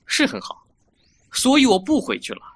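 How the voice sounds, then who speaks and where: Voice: mid-range voice, wildly swooping pitch, moderate pace. A performer playing a mouthy, petulant young fox-spirit boy in a Chinese audio drama, scolding and cocky. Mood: defiant.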